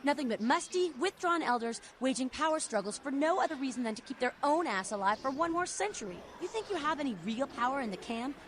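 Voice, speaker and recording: An actress playing a fiery, idealistic vampire rebel in a video game, passionate, cocky and preachy. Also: female voice, sits high, lively intonation, fast, hardly any pauses, slight background noise. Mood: scornful, cocky. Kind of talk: video game dialogue acting. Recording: background music under the voice.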